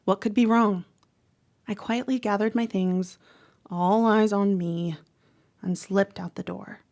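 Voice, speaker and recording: A woman playing a troubled, protective teenage girl who narrates a horror audio drama in a measured, dramatic way. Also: medium pitch, steady tone, a little mellow. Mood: uneasy. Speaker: actor narrating. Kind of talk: first-person horror audio drama monologue.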